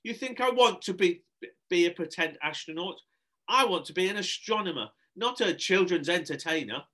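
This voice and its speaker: A man's voice: a little high, expressive, moderate pace, a bit bright, voice pushed up. A man voicing an exasperated woman who entertains at children's parties, firm, insistent and easily flustered, in a storybook reading. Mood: cross, exasperated.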